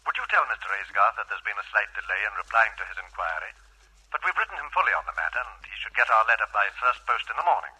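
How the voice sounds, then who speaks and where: An actor playing a polite male insurance clerk over a telephone filter in a 1940s radio drama, with a husky voice. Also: fairly low pitch, lively intonation, quick pace, pushed and bright, exaggerated voice, rough recording. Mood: businesslike. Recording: old radio broadcast recording.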